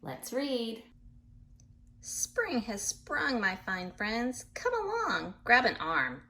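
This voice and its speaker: A woman reading a picture book aloud to children in an animated way, pausing to explain things patiently in simple words. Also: on the high side, slight background noise. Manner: animated, acts out animal sounds, addresses young listeners. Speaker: read-aloud storyteller for children.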